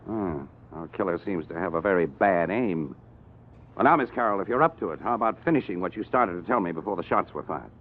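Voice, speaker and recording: An actor playing a shrewd, commanding police inspector in a 1950s radio drama, with a dry, probing, authoritative manner. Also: male voice, low-pitched, expressive, fairly quick, a little hiss or hum. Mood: firm. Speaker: detective inspector. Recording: dull old radio recording with music.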